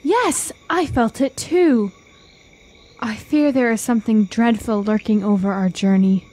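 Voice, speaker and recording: A woman's voice: on the high side, unhurried, a little mellow. An actress playing a reluctant, comfort-loving woman traveler in a fantasy radio drama, fretful and plaintive. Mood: uneasy.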